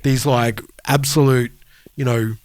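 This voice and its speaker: A man's voice: mid-range voice, steady tone, unhurried, no room sound. A man co-hosting a music podcast, talking in a casual conversational style. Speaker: podcast co-host.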